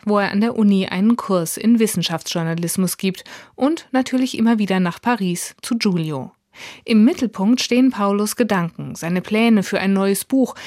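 A woman's voice: medium pitch, a little mellow, no room sound, clean studio sound. A woman reading a scripted radio book review as a critic.

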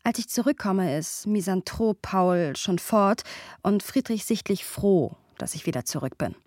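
An actress playing a thoughtful, poetic and playful young woman in a German radio play, with a breathy, husky voice. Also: mid-range voice, expressive, unhurried, a little mellow. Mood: narrating. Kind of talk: dramatic radio play acting and narration.

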